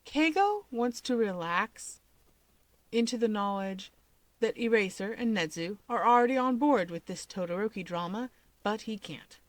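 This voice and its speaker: A woman's voice: medium pitch, lively intonation, moderate pace, no room sound. A woman reading a story aloud as scripted narration with character dialogue. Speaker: story reader.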